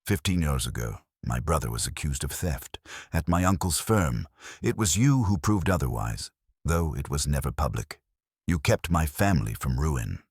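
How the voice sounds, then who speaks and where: Man voicing an anxious, guarded society woman, low-pitched. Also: lively intonation, normal speed, darkish tone, husky, no room sound, clean studio sound, exaggerated voice. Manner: faltering, earnest, formal. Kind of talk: audiobook mystery dialogue.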